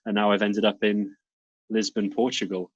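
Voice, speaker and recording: Brief: male guest, light British accent, lowish voice, dry and slightly muffled remote line. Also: flat delivery, moderate pace, breathy edge, dry recording. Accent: British, light Welsh. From Serbia, raised in South Wales. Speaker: fitness coach and doctor, guest.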